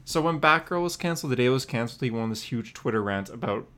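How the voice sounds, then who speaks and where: A man's voice: medium pitch, lively intonation, rapid-fire, a bit bright. A man talking casually as a podcast co-host, informal and slangy, often repeating his phrases. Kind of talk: casual conversation about comic book news.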